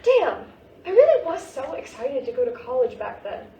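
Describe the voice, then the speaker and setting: A sarcastic teenage girl, played by a woman in a play reading, with a fairly high voice and a flippant way of brushing things off. She sounds stressed underneath, and is heard from a distance in a very echoey room. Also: very animated, moderate pace, warm tone. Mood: wry disappointment. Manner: flippant, slangy, brushing off worries. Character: sarcastic teenage daughter, stressed about college. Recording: roomy, distant mic with hiss.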